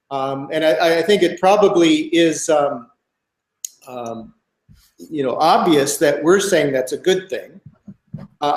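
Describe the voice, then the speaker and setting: A man's voice: fairly high pitch, reverberant, low-quality recording. A man in his sixties from Minnesota, answering interview questions thoughtfully as a guest author, with many fillers and restarts.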